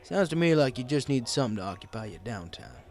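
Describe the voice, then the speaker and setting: A man playing a wry, folksy male pony bartender in an audiobook, casual, sympathetic and gently teasing. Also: medium pitch, very animated, fast talker. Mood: consoling. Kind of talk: audiobook character dialogue.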